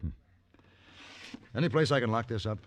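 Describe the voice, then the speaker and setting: An actor playing a calm, principled gunfighter for hire in an old radio western, speaking in a measured, dry, quietly menacing way. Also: male voice, medium pitch, background noise, lightly acted. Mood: cool, businesslike.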